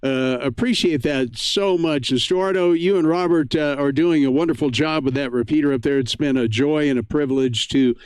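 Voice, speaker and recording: An American man hosting a ham radio net in a casual, halting, effusively thankful way. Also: fairly high pitch, a little mellow, clear, resonant tone, no echo.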